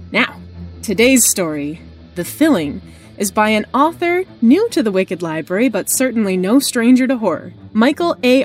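A woman introduces a podcast as its guest host. Her voice is on the high side, with expressive, emphatic phrasing, and music plays softly behind her.

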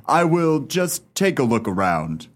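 An actor playing a stuffy old English gentleman in a radio play, speaking in a formal, fussy, slightly faltering way. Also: mid-range voice, very animated, a little slow. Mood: awkward, suspicious.

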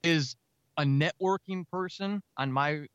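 A talkative, energetic man speaking casually as a guest in an interview about his creative work. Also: fairly high pitch, unhurried, clean tone, no room sound, dull audio. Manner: energetic, talkative, self-described extrovert. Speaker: audio drama writer and podcaster, interview guest.

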